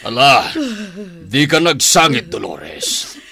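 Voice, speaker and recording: A man's voice: mid-range voice, big pitch swings, takes it slowly, creaky, crowd noise, heavily acted. A man playing an indulgent father who bosses a hideout, in an Ilocano radio drama. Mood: soothing indulgent.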